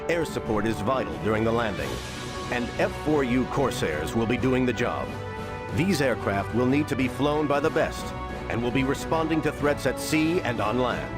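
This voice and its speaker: An actor playing a confident military briefing narrator, reading scripted narration for a war video game. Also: male voice, mid-range voice, lively intonation, normal speed, close to an everyday voice. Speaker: campaign narrator. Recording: very loud music behind. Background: very loud orchestral music.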